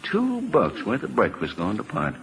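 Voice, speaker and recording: A man's voice: mid-range voice, wildly swooping pitch, moderate pace, gravelly, rough recording. An actor playing a wry, folksy, fatherly government lawman in an old-time radio drama. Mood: wry teasing. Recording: old radio broadcast recording.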